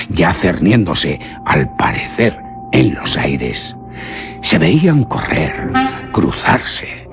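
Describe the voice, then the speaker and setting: A man narrating a literary horror tale for radio in theatrical, deliberate, suspenseful phrasing. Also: deep voice.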